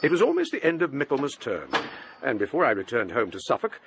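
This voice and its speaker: A genial older English don in a radio play. His delivery is measured and courteous, with lively swings of pitch at a middling height, over faint background noise. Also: gravelly. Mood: intriguing, storytelling. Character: genial older English scholar and provost telling ghost stories. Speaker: actor. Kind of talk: radio drama acting and storytelling.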